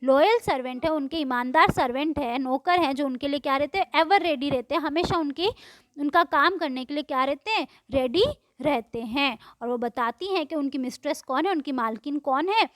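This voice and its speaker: A woman teacher explaining a poem line by line, reading English lines slowly and then explaining them in Hindi, asking and answering her own questions. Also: high-pitched, no echo, studio quality.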